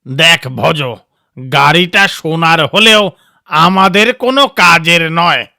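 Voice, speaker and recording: A man playing a blunt, practical village farmer in an animated moral story, firm and admonishing. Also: fairly high pitch, slow, creaky, dry recording, clean studio sound, full character voice. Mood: refusing. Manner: admonishing, insistent.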